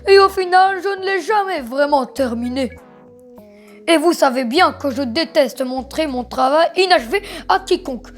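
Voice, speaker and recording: A woman voicing a theatrical, flustered cartoon ringmaster with a showy, grandiose way of announcing in a fan dub. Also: high-pitched.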